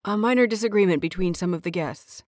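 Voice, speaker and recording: A woman plays a dutiful young man in a storybook reading. He is courteous and composed, with measured speech. The recording is dry, without room sound. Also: on the low side, a little mellow, no echo, lightly acted. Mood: polite. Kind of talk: audio story character reading.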